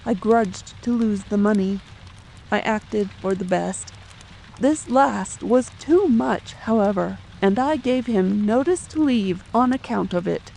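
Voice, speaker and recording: Casting: woman to play distressed protective widow, audiobook drama; unhurried formal delivery, faint rain underneath. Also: mid-range voice, gravelly, dark tone, a little hiss or hum, poor audio. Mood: anxious, sorrowful. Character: distressed, fearful middle-aged widow, protective mother. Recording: faint rain ambience behind.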